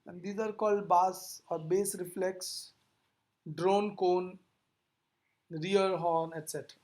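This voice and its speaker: A physics lecturer teaches in an explanatory, classroom manner. The voice sits at a medium pitch. The delivery is didactic, with key points restated and rephrased as the explanation goes on. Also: steady tone, slow, a little mellow.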